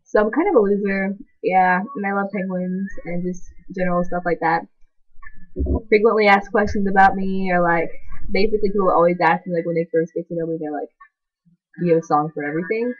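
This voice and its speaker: A woman's voice: fairly low pitch, fairly even intonation, fairly quick, lo-fi sound. Chatty teen girl, lots of "like". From half Chilean, half American. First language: Spanish. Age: teens. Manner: chatty, rambling, constant "like" filler. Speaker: channel co-host introducing herself. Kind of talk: casual talking-to-camera vlog.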